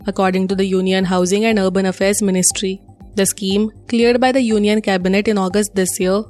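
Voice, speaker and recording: A woman's voice: mid-range voice, darkish tone. A woman with an Indian accent presents a scripted news bulletin.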